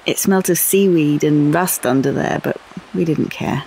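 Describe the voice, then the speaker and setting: Candid young British woman for audio play; lowish pitch, confiding delivery, background noise. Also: normal speed, vocal fry, dark tone, rough recording. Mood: intimate, nervous. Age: young adult. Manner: confiding, intimate storytelling. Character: candid young woman recalling a teenage romance. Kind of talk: audio play monologue with dialogue.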